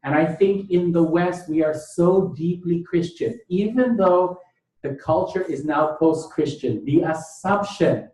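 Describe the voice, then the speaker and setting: A man's voice: fairly high pitch, a little slow, warm tone. Emphatic male lecturer in an echoey room. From Thailand. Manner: emphatic, repeats phrases, poses rhetorical questions. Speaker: lecturer, bioethicist. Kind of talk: lecture with question and answer. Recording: echoey room, distant mic.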